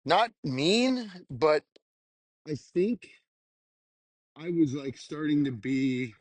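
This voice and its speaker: A young adult man, a stand-up comedian, rambling casually and candidly in a halting, self-reflective way with some casual swearing. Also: on the high side, big pitch swings, no echo. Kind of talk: casual rambling monologue, audio journal.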